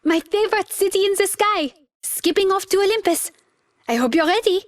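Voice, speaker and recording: A bubbly young video game heroine. Her voice is extremely high and dry, chirping along with sweet, playful eagerness. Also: lively intonation, moderate pace, dry recording. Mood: playful cheer. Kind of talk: video game character voice lines.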